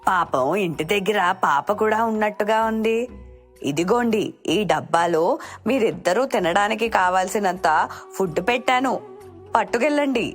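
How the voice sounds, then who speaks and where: A woman playing a kind, considerate household employer in a story cartoon, polite and gently insistent. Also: mid-range voice, a little slow, soft background music, natural voice. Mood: kindly concern.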